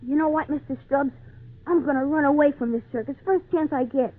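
A woman's voice: high voice, fairly quick, natural voice. A woman playing an earnest, polite, folksy little boy in an old-time radio drama. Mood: secretive, determined. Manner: folksy, wide-eyed, hesitant. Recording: tinny band-limited 1940s radio recording.

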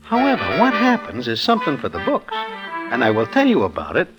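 Streetwise Broadway narrator, deadpan and roundabout, 1940s radio play, with music behind. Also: male voice, on the high side, brisk, poor audio. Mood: wry. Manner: slangy, deadpan roundabout storytelling. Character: wry Broadway storyteller man, streetwise.

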